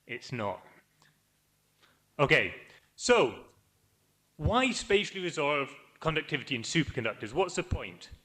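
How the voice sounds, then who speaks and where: A man's voice: a little high, big pitch swings, measured pace, long pauses, bright, forceful tone. A man with a Scottish accent giving an upbeat, wry academic talk at a conference. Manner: upbeat, wry, self-deprecating. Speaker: conference speaker. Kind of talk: academic presentation. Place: conference hall.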